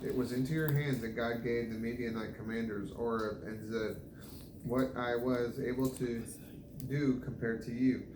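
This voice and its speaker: A man reading scripture aloud in a halting way, stumbling over difficult names. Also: mid-range voice. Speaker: scripture reader. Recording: echoey room with background noise.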